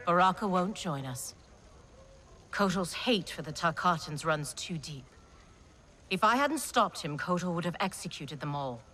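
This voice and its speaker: A woman's voice: lowish voice, animated, measured pace, bright, forceful tone, slight background noise. A woman playing a grave, worried warrior ally in a video game, speaking seriously and urgently. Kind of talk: dramatic video game acting.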